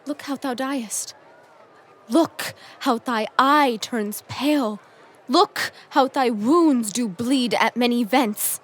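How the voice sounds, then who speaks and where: A woman's voice: on the high side, slow. A woman playing a grief-stricken young prophetess foretelling doom in a Shakespearean audio drama. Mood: anguished.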